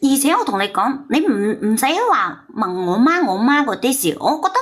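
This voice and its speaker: A woman's voice: high-pitched, sing-song, brisk, slightly rough. A woman, calling in and insistently justifying herself as she tells her personal story. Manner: defensive, self-justifying, insistent. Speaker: caller on a story call-in show. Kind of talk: heated personal confession.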